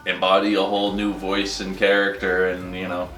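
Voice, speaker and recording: A man's voice: low voice, completely flat delivery, normal speed, fairly bright tone. A man chatting casually as an interviewed voice actor, jokey and self-deprecating. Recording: roomy echo, distant from mic.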